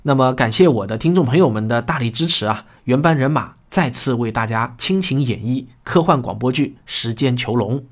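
A man of medium pitch presents his own radio drama to listeners. His delivery is conversational and animated in pitch, with short phrases that often rest on a trailing particle. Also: a little fast, hardly any pauses. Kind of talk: spoken introduction to listeners.

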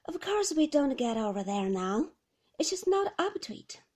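A woman voicing a brisk, relentlessly cheerful nurse who chats in a high, bright manner. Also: very animated. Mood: chirpy. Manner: bright, chirpy, chatty.

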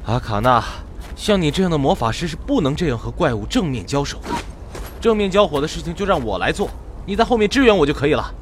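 An earnest, high-voiced young priest warrior. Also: male voice, sing-song, fast talker, noisy background. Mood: earnest instructing. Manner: earnest, exasperated, protective. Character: earnest protective young male priest warrior in fantasy adventure. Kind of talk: audio drama acting.

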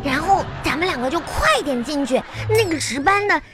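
A perky little schoolgirl in a comedy radio play. The voice is extremely high and childlike, chatty and coaxing, a bit bossy. Music plays prominently behind the lines. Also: expressive, moderate pace. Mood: scheming. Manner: childlike, chatty, coaxing with sentence-final particles.

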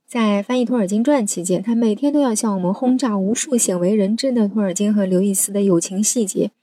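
Woman podcast host reading an intro, mellow soft tone, bit breathy, dry close sound. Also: medium pitch, dry recording.

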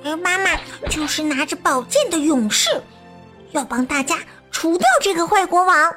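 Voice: very high-pitched, moderate pace, pushed and bright, faint music behind. A performer playing an earnest, sweet, curious young child in a children's radio drama.

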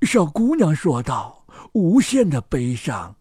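A man giving an expressive scripted narration of a fairy tale. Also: a little high, takes it slowly, slightly rough, no room sound, clean studio sound.

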